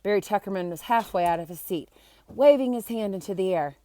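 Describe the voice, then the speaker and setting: Woman, storybook reader, expressive delivery, explanatory asides, medium pitch, dry recording. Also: brisk, no room sound. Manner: expressive, acting out the characters. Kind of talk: children's book read-aloud with short explanatory asides.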